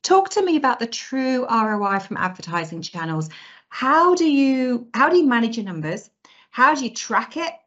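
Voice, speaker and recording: A woman's voice: a little high, big pitch swings, moderate pace, a bit bright, muffled recording. A woman hosting a podcast, upbeat and jokey as she introduces the topic and puts questions to her guest. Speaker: podcast host.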